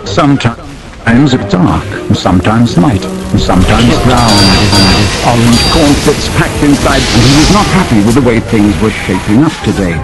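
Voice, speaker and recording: A man narrating a storybook in a dramatic, expressive, theatrical style. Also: mid-range voice, normal speed, rough recording. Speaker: narrator of a parody audiobook. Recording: loud music over the reading.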